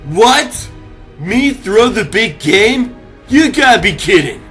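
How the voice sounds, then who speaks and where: Cartoon teen mouse hero played by a man: highish, animated, defiant, slangy. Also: slow pace, soft background music, exaggerated voice. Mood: indignant. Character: cocky young male mouse athlete under pressure. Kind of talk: comic dub cartoon acting.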